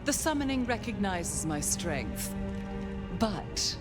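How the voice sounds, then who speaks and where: An actress playing a haughty, languid ancient vampire aristocrat in a video game, with a theatrical, condescending drawl. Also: female voice, mid-range voice, big pitch swings, slow pace, loud music behind the voice. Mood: imperious. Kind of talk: dramatic video game acting.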